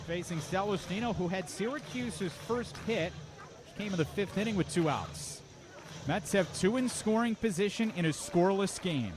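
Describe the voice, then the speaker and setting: Baseball play-by-play man, higher-pitched, ballpark noise. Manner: clipped pitch calls between flowing commentary. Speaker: radio play-by-play announcer for baseball. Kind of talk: live baseball radio play-by-play. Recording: clear outdoor ballpark noise.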